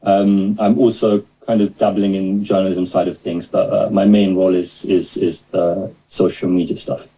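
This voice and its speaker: Soft-spoken young man on a video call. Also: deep voice, flat delivery, moderate pace, few pauses, breathy edge, poor audio. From London. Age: 20s. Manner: soft-spoken, hesitant, many fillers. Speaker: guest, new staff member. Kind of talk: casual interview over video call.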